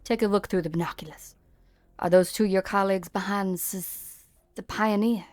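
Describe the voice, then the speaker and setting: A woman's voice: mid-range voice, steady tone, normal speed, a little mellow, dry recording, lightly acted. A woman playing a stern, bitter police officer in an amateur game fan dub, with a cutting, sarcastic delivery. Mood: commanding, tense. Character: stern, bitter policewoman confronting an old friend.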